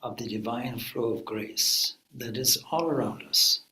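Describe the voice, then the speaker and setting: Slow, calm man guiding meditation. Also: medium pitch, low-quality recording. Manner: calm, unhurried, with long pauses. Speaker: swami leading meditation.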